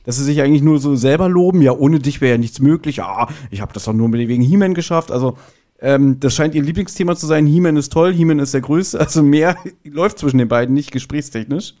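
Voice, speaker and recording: Podcast guest, male, relaxed mellow timbre, informal review conversation. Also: on the high side, quick pace. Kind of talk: casual review chat.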